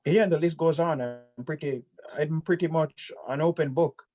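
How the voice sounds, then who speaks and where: A nineteen-year-old male student introducing himself in a measured, halting way with wry humor. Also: on the high side, mellow. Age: young adult. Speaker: student guest. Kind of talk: casual self-introduction.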